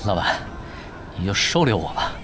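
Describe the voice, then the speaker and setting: A man's voice: low voice, sing-song, moderate pace, background noise. An actor playing a laid-back, teasing young male gamer in a Chinese audio drama, with a casual, drawling delivery. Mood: playful.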